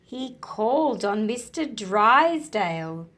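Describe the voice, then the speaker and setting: A woman reads a picture book aloud for young listeners. Her voice sits fairly high and swings widely in pitch, and she takes her time with each phrase, shaping it expressively. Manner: slow, deliberate, animated delivery for young listeners. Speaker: storyteller reading aloud to children. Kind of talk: expressive children's storybook read-aloud.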